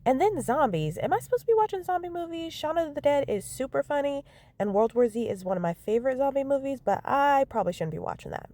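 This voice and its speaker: A woman in her thirties chatting casually to her phone camera from inside a car. Her nose is stuffy and she talks in an animated, self-mocking way. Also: high voice, fast talker. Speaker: vlogger. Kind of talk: casual vlog chat.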